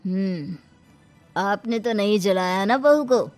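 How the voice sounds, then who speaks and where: A woman's voice: mid-range voice, takes it slowly, a little mellow, faint music behind, close to an everyday voice. A woman voicing a stern, suspicious doctor who admonishes people in a dramatised moral story.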